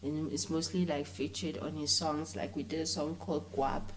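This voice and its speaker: Female interview guest, South African accent, slightly low pitch, informal and animated, conversational slang. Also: moderate pace, slight background noise, rough recording. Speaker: interview guest, filmmaker and actress.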